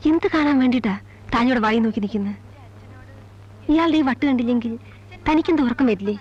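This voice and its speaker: An actress playing a proud, sharp-tongued young woman in a film drama, scolding and disdainful, with an Indian Malayali accent. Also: fairly high pitch, animated, quick pace, breathy edge, muffled recording. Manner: scolding, disdainful, English words mixed in. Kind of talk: heated film drama dialogue.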